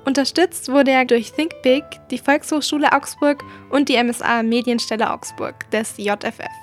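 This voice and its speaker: High-voiced woman podcast host. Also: fairly even intonation, normal speed, soft background music. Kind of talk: scripted podcast narration.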